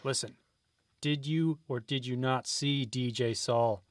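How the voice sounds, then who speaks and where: An actor playing a brusque, impatient government agent who questions a suspect in a comedy radio play. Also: male voice, medium pitch, steady tone, a little slow, no echo, close to an everyday voice. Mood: exasperated. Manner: exasperated, brusque, repeats himself.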